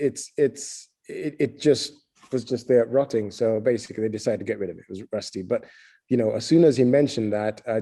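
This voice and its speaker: A middle-aged man from Uganda, a head distiller, answering interview questions in a relaxed way with many fillers. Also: on the low side.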